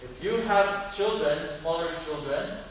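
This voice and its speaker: A man's voice: a little high, expressive, unhurried. A man who teaches, addressing an audience in a live welcome speech before a school play. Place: large echoing indoor performance space. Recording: very echoey hall from far off, faint noise.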